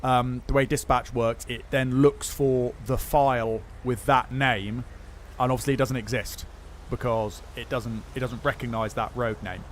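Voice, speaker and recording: A man's voice: mid-range voice, steady tone, measured pace, a little hiss or hum. A man chatting casually on a game livestream, answering viewer questions with lots of fillers and restarts. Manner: rambling, many fillers and restarts. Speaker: streamer.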